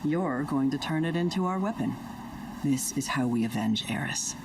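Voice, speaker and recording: A man's voice: on the high side, expressive, moderate pace, vocal fry, distant mic, noisy background. An actor playing a grim man who commands and mentors a soldier, set on revenge. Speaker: game character.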